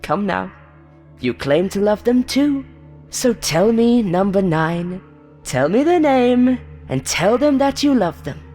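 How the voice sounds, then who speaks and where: A woman voicing a monstrous game show host in a horror story, falsely friendly and hateful, with a taunting, showy, drawn-out delivery. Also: mid-range voice, very animated, warm tone, long reverb tail, faint music behind, voice pushed up. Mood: taunting, menacing.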